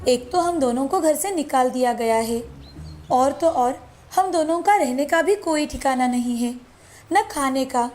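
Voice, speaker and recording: A woman storyteller voicing a humble, kind, homeless young mother in a gentle, earnest way for a children's moral story. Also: high voice, a little slow, soft background music. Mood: gently shooing.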